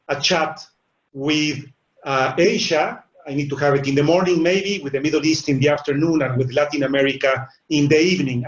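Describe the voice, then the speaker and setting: A middle-aged Mexican-accented man talks through his points on a webinar panel, earnest and repeating little words as he goes. His voice sits a touch high for a man. The sound comes over a video call from a roomy space, and the line is dull and lo-fi. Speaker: webinar panelist, business executive.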